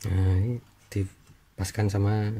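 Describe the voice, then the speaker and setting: Man, low-pitched voice, informal tutorial commentary, short instructional phrases, faint background noise. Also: level tone, slow pace, mellow. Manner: talks in short step-by-step phrases while working, addressing viewers. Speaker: repair tutorial host.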